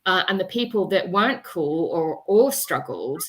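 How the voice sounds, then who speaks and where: A woman's voice: on the low side, expressive, moderate pace. A woman speaking as an author on a discussion panel, telling her experiences in a reflective, expansive, conversational way.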